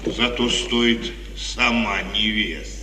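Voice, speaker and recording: An actor playing a menacing, sly outlaw in an insinuating 1950s radio theatre manner. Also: male voice, lowish voice, takes it slowly. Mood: probing, menacing. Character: menacing, sly outlaw bandit, sharp-featured killer. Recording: old echoey radio play, distant, noisy.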